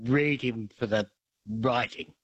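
Man, radio caller, hesitant, slow-paced, halting delivery. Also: medium pitch, fairly bright tone, breathy edge, no room sound, highs cut off. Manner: hesitant, many ums and uhs. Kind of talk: casual radio chat.

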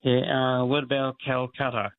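A man calls in to a radio quiz and chats with the host. He stumbles and restarts, and his talk is full of fillers. His medium-pitched voice comes through a thin, tinny phone line. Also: completely flat delivery, normal speed. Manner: hesitant, many fillers and restarts, warm. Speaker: caller. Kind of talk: casual phone-in chat.

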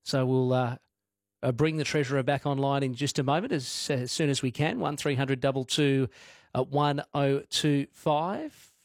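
A man's voice: mid-range voice, moderate pace, no room sound, clean studio sound. A man hosting a talkback radio show, chatty and self-deprecating. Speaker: radio host.

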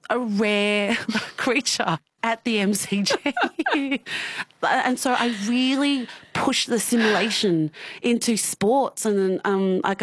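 A woman's voice: mid-range voice, no room sound, clean studio sound. A woman in her thirties with an Australian accent, speaking candidly as an interview guest. She laughs often and restarts her sentences. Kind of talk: personal interview.

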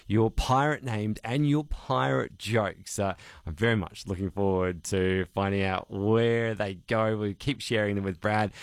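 A man's voice: lowish voice, no room sound, studio quality. A man hosting an afternoon radio show, upbeat and chatty, with frequent "uh" fillers. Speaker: radio host. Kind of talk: casual radio chat. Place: radio studio. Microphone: studio microphone.

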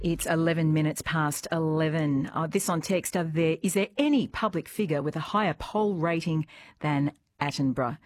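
Low-voiced Australian woman hosting radio. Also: normal speed. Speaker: radio host. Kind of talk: live radio interview, conversational.

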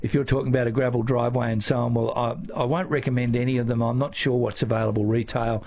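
An older man with an Australian accent, a gardening guest answering listener questions on radio in a relaxed, halting way. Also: mid-range voice. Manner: relaxed, halting with many fillers.

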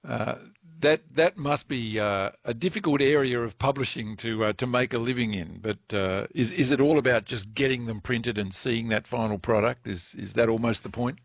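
A man's voice: mid-range voice, moderate pace, vocal fry, hardly any pauses, dry recording, studio quality. A man from Australia hosting an interview, asking thoughtful questions with frequent stammered restarts. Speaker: radio interviewer.

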